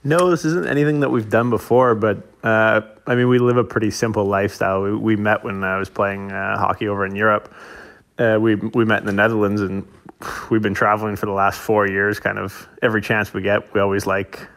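A Canadian man is a guest on a radio show and talks in a relaxed, friendly way. His voice is low and gravelly. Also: brisk. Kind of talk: interview.

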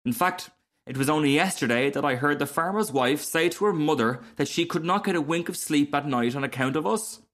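A man's voice: fairly high pitch, a little fast, a bit bright, pitched above the performer's own voice, close to an everyday voice. A man voicing a small, cheerful male frog in a storybook, garrulous, genteel and very pleased with himself. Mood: cheerful, boastful. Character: cheerful, chatty, self-satisfied little male frog. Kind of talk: storybook character reading.

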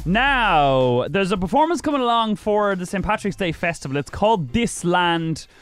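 A man's voice: high voice, big pitch swings, normal speed, fairly bright tone, soft background music. A man hosting a radio interview in a studio, chatty, with stumbling restarts. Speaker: radio host.

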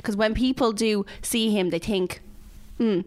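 Dublin woman, enthusiastic, mid-pitched, professional dancer chatting. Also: measured pace. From Dublin, Ireland. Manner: upbeat, enthusiastic. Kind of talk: casual chat.

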